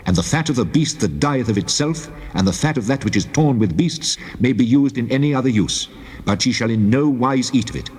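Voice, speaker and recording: A man playing the Lord God as a solemn, commanding lawgiver, declaiming in measured, resonant phrases in a dramatized scripture reading. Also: medium pitch, expressive, darkish tone, slightly rough. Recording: long cavernous reverb.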